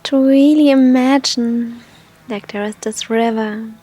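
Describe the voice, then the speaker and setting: A woman leads a guided meditation in a high, soft voice. Her delivery is slow and unhurried, leaving long pauses between gentle instructions. The tone is airy and husky, often close to a whisper. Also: fairly even intonation, no echo.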